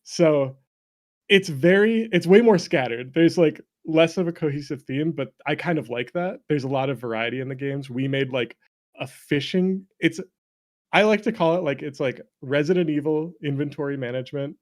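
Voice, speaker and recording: A man's voice: on the high side, moderate pace, a little mellow. A man chatting casually as an interview guest, an indie game developer and streamer with a jokey, self-deprecating manner who often laughs. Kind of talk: casual chat.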